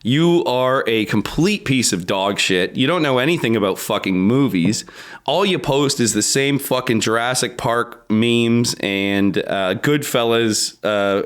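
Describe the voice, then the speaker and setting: A man's voice: mid-range voice, a bit bright. A man co-hosting a casual podcast over a remote call, laughing often, swearing freely and rambling. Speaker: podcast co-host.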